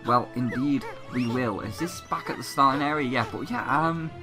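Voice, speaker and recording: A man's voice: medium pitch, normal speed. A young man doing let's play commentary, slightly sick and stuffy, chatty and rambling with casual swearing. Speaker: gaming YouTuber. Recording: loud game music behind.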